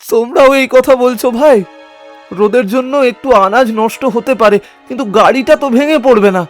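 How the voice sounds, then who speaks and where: A man voices a humble, hapless cart puller from a cartoon folktale. His high voice is earnest and pleading, full of rustic simplicity. Faint music plays behind him. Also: brisk. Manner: earnest, plaintive, rustic folksy address. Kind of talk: animated moral story acting.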